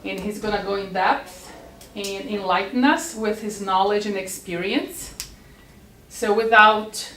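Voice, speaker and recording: A woman hosting an evening event, formally introducing the guest speaker in a measured, welcoming way. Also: medium pitch, steady tone, fairly bright tone. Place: lecture room with live audience. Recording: echoey room, mic far off.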